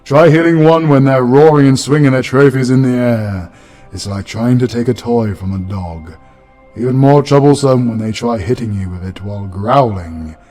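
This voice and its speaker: A man's voice: medium pitch, normal speed, warm tone, soft background music, natural voice. Man acting a hearty, fatherly British Christmas spirit. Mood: jovial, teasing. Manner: hearty, grandly paternal, teasing. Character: jolly, fatherly male Christmas spirit with dark humour. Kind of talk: comedic audio roleplay acting.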